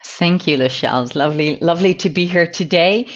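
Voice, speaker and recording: A woman's voice: on the low side, fairly quick, highs cut off. A woman from the Netherlands with a Dutch accent, a business coach who talks reflectively, with many ums and repeated words. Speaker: guest, business and life coach. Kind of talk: casual interview chat.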